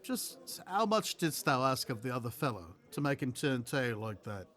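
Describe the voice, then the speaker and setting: An actor playing a blunt, lustful sailor in an audio play, speaking tersely in archaic English. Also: male voice, medium pitch, big pitch swings, normal speed, a bit bright. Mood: gruff, skeptical. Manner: terse, suggestive, archaic speech. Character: lustful, blunt male sailor haggling in a tavern.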